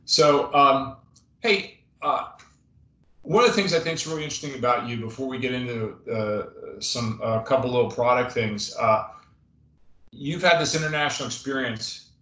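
A man's voice: mid-range voice, moderate pace, bright, forceful tone. Man, 50s, friendly rambling podcast host on a webcam call, echoey home office. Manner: friendly, rambling, lots of fillers and restarts. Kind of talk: casual interview chat. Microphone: webcam on video call. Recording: roomy video call audio.

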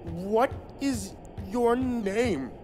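A man voicing an eerie forest creature that mimics voices, slow and repetitive. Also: high-pitched, over music, voice pushed up. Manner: slow, distant, repetitive pleading.

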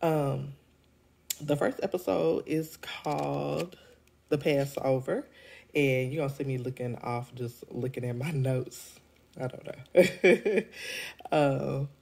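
A middle-aged woman with a deep, congested voice, chatting casually through a show review with lots of ums and laughs. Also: fairly even intonation, takes it slowly, vocal fry, darkish tone. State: congested from a cold. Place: indoors at home. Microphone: phone held at arm's length.

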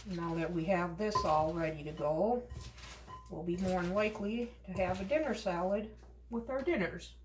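A woman's voice: lowish voice, moderate pace, background noise. A middle-aged woman casually talking through her cooking step by step in her home kitchen, in short remarks to herself. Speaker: home cook vlogger. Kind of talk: casual narration while cooking. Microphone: camera across the counter. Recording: echoey kitchen from a distance. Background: clinking and chopping noises.

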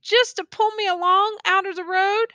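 A woman's voice: extremely high pitch, steady tone, normal speed, sharp tone, dry recording, pitched above the performer's own voice. A woman voicing a dying tall male soldier who begs in a rustic drawl, then stubbornly refuses help. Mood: pleading. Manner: rustic drawling dialect, begging.

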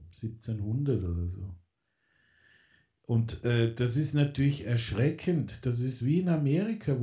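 A man's voice: medium pitch, dark tone. A man in casual political radio talk, rambling with many fillers and restarts. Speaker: guest.

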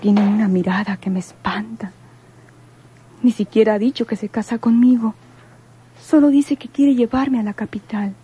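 An actress playing a gentle, anxious young sweetheart with a Mexican accent and a soft, breathy voice in a radio drama. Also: female voice, on the high side, fairly even intonation, normal speed, slight background noise, lightly acted. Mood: frightened, secretive.

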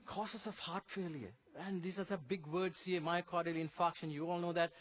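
Middle-aged man from Sri Lanka, high voice, church health seminar speaker, chatty and explanatory. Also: fairly even intonation, normal speed. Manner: conversational and explanatory, breaks down medical terms.

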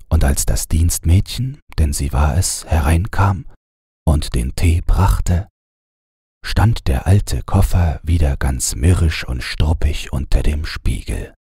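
A man narrating a bedtime fairy tale in a gravelly, husky voice, with a measured, unhurried storyteller's delivery. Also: extremely low pitch, mellow, dry recording, clean studio sound. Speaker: audiobook narrator.

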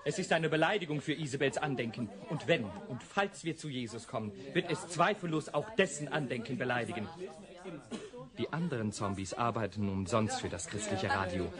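A man's voice: medium pitch, fairly quick, crowd noise, close to an everyday voice. A man playing an impatient, bossy, cynical director in a radio play. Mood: cynical, irritated. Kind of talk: German radio drama dialogue.

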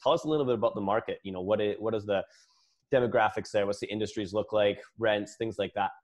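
A man's voice: on the low side, fairly even intonation, quick pace. A young man hosting an interview in a casual, chatty way. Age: young adult. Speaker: interview host. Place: room at home. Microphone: wired earbuds on a video call.

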